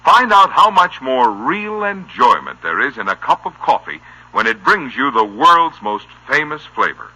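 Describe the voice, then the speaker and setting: A man's voice: medium pitch, big pitch swings, unhurried, bright, forceful tone, husky, poor audio. An American man reading a 1950s radio commercial as an announcer, with polished, folksy salesmanship. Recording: old radio recording.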